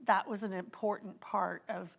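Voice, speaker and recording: A middle-aged woman, a festival co-founder, calmly recalling and explaining in conversation. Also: medium pitch, normal speed, gravelly. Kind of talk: conversational recollection.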